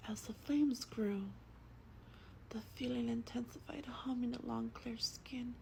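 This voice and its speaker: A woman speaks in a breathy, close-up whisper with a faint noise behind her. Her delivery is very slow, hushed and gentle, in the manner of an ASMR vampire reading a book aloud. The voice sits a little on the high side. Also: steady tone. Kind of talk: soft-spoken ASMR roleplay and book reading.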